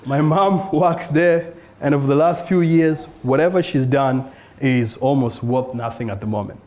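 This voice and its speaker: Earnest man pitching from a stage. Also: normal speed, darkish tone. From South Sudan. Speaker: startup founder pitching. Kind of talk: conference pitch talk. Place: event room in front of an audience.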